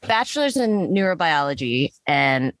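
A woman's voice: low-pitched, very animated, normal speed, dry recording. A woman hosting a podcast, talking in a bubbly, rambling way. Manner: bubbly, rambling, laughs often. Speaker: podcast host. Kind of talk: casual chat.

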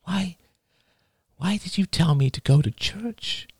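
A man's voice: high voice, slow pace, breathy edge, dry recording, clean studio sound, voice pushed up, heavily acted. A man voicing an anxious but defiant young woman reporter in an audiobook, pleading and protesting. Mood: timid, vulnerable.

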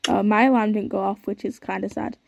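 A woman's voice: medium pitch, fairly even intonation, a little fast, creaky, darkish tone, no echo. A young woman hosting a podcast, chatting casually and reading aloud with lots of fillers and unsure asides. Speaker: podcast host.

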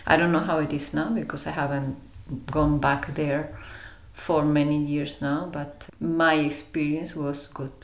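Low-voiced Spanish woman in an echoey room. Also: flat delivery, measured pace. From Spain. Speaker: interview guest. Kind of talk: reflective personal storytelling in conversation. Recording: roomy echo around the voice.

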